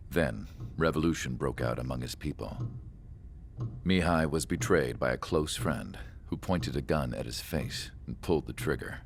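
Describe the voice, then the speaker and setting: A man playing a solemn scientist who narrates a video game story in a measured, reflective way. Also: extremely low pitch, a little hiss or hum. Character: solemn older male research scientist recalling an ace pilot. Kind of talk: scripted dramatic cutscene narration.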